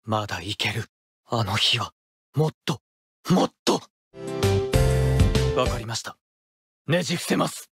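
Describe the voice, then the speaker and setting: An earnest teenage ace pitcher in an anime-style game, quietly ambitious, in a mid-pitched voice. Very loud music plays behind him. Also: male voice, expressive, unhurried, gravelly, pauses often. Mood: quiet resolve.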